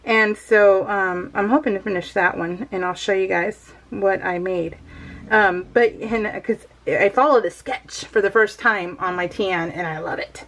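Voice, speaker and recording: A woman's voice: medium pitch, unhurried, a bit bright. A middle-aged American woman rambling casually as a craft video host, giggly and self-deprecating.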